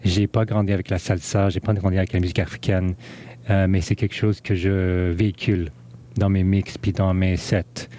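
Hesitant low-voiced man, mellow tone. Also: little pitch movement, normal speed. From Canada. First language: English. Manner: hesitant, many fillers and restarts. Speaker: DJ guest. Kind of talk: interview conversation.

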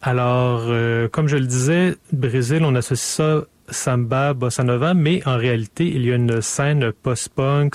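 A man hosting a radio music show, relaxed and often hesitating. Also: mid-range voice, dry recording. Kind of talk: casual radio presentation.

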